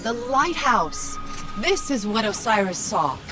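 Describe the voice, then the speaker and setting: Cheeky, confident robot companion. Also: female voice, fairly high pitch, big pitch swings, slow pace, loud music behind the voice. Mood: alarmed, urgent. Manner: wry, informal, confident. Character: small female AI companion, sharp-witted, cheeky and loyal. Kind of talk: scripted game cutscene acting.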